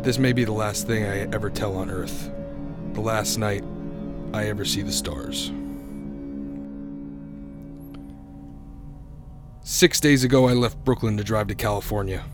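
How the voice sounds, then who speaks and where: A man's voice: fairly low pitch, normal speed, music in the background, close to an everyday voice. An actor playing a haunted young motorist who tells his eerie story in a radio drama, grave and suspenseful. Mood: grave, urgent. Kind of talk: radio drama narration and acting.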